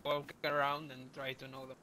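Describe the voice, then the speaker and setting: A man, speaking haltingly in game voice chat, searching for words. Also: mid-range voice, completely flat delivery, a crowd in the background. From Spain. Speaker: other player. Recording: faint noisy voice chat.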